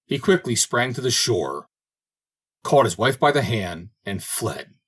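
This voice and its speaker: Male audiobook narrator for fairy tales: husky, highly animated, theatrical storyteller. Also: a little slow. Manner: dramatic, expressive storytelling. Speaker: audiobook reader. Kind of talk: scripted fairy tale narration.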